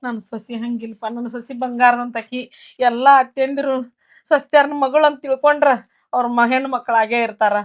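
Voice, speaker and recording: A woman's voice: fairly high pitch, fairly even intonation, lightly acted. A middle-aged woman with a North Karnataka Kannada accent, playing a jealous, possessive mother-in-law who scolds her son and daughter-in-law. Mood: remorseful. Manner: scolding, sharp, and quick to blame. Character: jealous possessive mother-in-law, quick-tempered, later remorseful. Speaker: actor. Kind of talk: village family drama acting.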